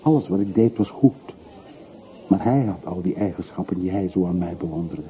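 A low-voiced, middle-aged man of means played by a male actor in a radio drama, looking back with regret. He confesses in short, brooding sentences. The old recording is narrow as a telephone line, with faint hiss. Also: normal speed, lightly acted. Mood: brooding, regretful. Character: wistful middle-aged man of forty-three, wealthy returned emigrant. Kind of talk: radio play acting. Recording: muffled phone-like old radio recording, hissy.

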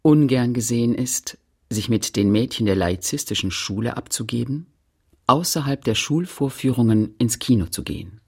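A man with a husky, gravelly voice narrating a literary radio play in a measured, read-aloud manner. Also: medium pitch, animated.

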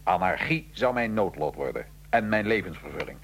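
A man acting in a radio drama as a defiant, wry nineteenth-century Italian revolutionary prisoner, telling his story gravely and with irony. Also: deep voice, very animated, normal speed, exaggerated voice. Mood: grave, wry recounting.

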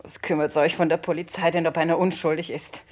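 A woman's voice: low voice, level tone, quick pace, darkish tone. A woman playing a distraught, defiant young woman in a crime radio play, agitated and defensive. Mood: bitter, defiant. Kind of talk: mid-century German crime radio drama acting.

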